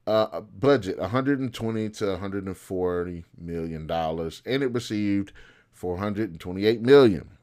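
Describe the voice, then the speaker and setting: Man, lowish pitch, casual host, unscripted commentary, opinionated, blunt, repetitive emphasis. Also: normal speed, a little mellow. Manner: blunt and opinionated, with mild swearing.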